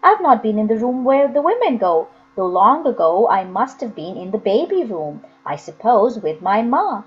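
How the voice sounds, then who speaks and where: A woman's voice: on the high side, sing-song, normal speed, few pauses, lo-fi sound, slight background noise. A woman voicing a simple, wistful old workhouse inmate with rustic, uneducated speech, in a storybook reading. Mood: wistful, confiding. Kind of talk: dramatised audiobook reading.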